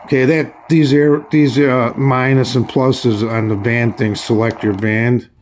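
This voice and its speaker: A man giving a casual, hands-on review in a halting, folksy way, often restarting his phrases. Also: medium pitch, steady tone, noisy background. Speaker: radio reviewer. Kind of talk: casual hands-on product demonstration.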